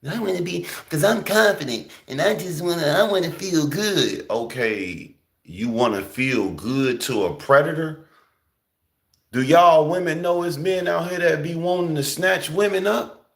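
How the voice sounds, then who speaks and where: A young man talking in a casual, slangy preaching style, emphatic and often jumping high for emphasis. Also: lively intonation, a little slow, gravelly. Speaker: host.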